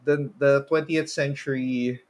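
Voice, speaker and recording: A man's voice: mid-range voice, steady tone, unhurried, darkish tone. A man with a Filipino accent hosting a casual podcast, mixing Tagalog particles into his English. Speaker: podcast host.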